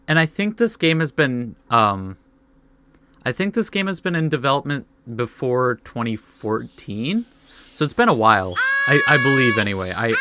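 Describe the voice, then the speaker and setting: A let's play host commenting casually on a game and reading its text aloud, a little haltingly, with soft interjections. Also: medium pitch, noisy background. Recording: game music behind the voice.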